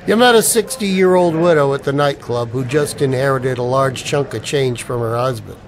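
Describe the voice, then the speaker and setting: A man's voice: mid-range voice, moderate pace, natural voice, poor audio. An actor playing a wry, wary ex-soldier down on his luck, with dry, sardonic banter in a radio play. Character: wry, wary unemployed ex-Ranger, expectant father. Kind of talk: radio play dialogue in homage to old radio dramas.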